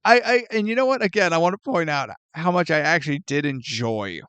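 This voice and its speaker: Animated, jokey man with a lively voice. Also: a little high, fairly quick, smooth, no room sound. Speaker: podcast co-host. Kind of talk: casual film review chat.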